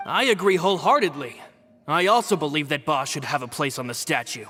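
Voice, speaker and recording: An earnest, respectful male attendant in a video game. His high, bright voice is formal and eager, ringing with long reverb. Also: brisk. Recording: long hall reverb, music behind.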